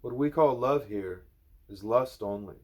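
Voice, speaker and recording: A man reading a spiritual letter aloud at home, in a measured, earnest voice with deliberate pauses. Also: medium pitch. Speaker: reader of a spiritual book.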